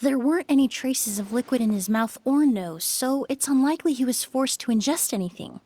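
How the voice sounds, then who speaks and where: A woman voicing a calm, analytical female perfumer who lays out evidence in a measured way. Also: high-pitched, a little hiss or hum.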